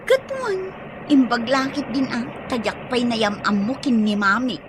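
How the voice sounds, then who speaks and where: A woman's voice: fairly high pitch, unhurried, noisy background, natural voice. A woman playing a sassy, dramatic young girlfriend in a comedic radio drama. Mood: annoyed.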